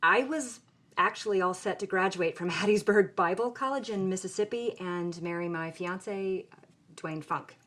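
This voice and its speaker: A woman's voice: mid-range voice, moderate pace, a bit bright, close to an everyday voice. An actress playing a frank young film student, wry and outspoken, telling her story conversationally in an interview. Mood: wry, candid. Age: young adult.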